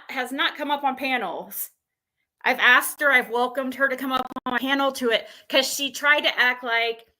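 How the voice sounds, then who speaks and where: A woman hosting a livestream, talking casually and haltingly, thinking aloud as she rambles through asides. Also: on the high side, pushed and bright. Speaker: livestream panel host.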